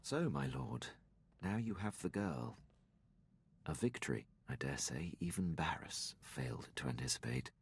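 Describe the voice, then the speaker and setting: An actor playing a deferential military captain serving a Sith in a video game, formal and obsequious, with a gravelly, husky voice. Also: male voice, rumbling low, expressive, measured pace, full character voice. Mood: probing. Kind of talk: scripted game dialogue.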